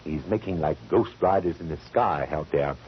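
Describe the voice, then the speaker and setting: A man playing a fussy, affectionate gentleman sidekick, speaking in a florid, arch, affectedly genteel way in 1940s radio style. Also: rumbling low, moderate pace. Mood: arch, chatty. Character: fussy, theatrical, affectionate gentleman best friend of detective. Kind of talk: 1940s radio comedy-drama acting. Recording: dull, hissy 1940s radio recording.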